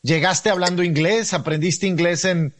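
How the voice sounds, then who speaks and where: A man's voice: sits high, normal speed. A man interviewing a chef, asking probing, chatty questions and adding his own reflections.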